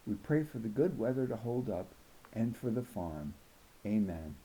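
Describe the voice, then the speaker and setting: A man's voice: lowish voice, very animated, normal speed, warm tone, a little hiss or hum, rough recording. A man voicing a tough, protective farm grandmother in a storybook, blunt and emphatic. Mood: praying firmly. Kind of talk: storybook character reading.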